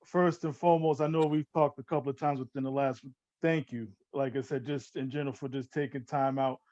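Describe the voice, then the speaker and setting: A man's voice: medium pitch, steady tone, fairly quick, darkish tone, dry recording, lo-fi sound. A man from New Jersey hosting a casual interview, eager and chatty, who keeps saying "like I said" and laughs often. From New Jersey, born in Newark, raised in Orange. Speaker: interview host.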